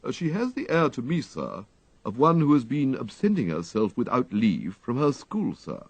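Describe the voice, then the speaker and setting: Man playing a dignified, creaky-voiced English valet. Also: medium pitch, huge pitch swings, dry recording, voice pushed up, exaggerated voice. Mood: deferential. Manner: formal, ornate, measured. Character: dignified English gentleman's valet, deferential, unflappable, dryly clever.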